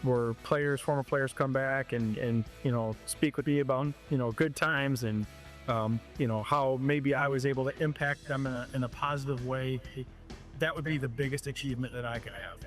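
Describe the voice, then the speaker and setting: A man in his forties, a high school football coach from Ohio, answers interview questions at a mid-range pitch. He talks haltingly, restarting and repeating words as he gathers his thoughts. Soft music plays faintly behind him. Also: steady tone.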